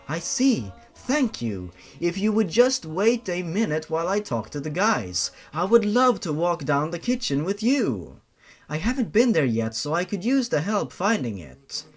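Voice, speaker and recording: Guy voicing a polite young lady in a creepy story, kinda formal, lively pitch, music on it. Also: measured pace, exaggerated voice. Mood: kind. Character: polite young woman, kind to children, coolly menacing.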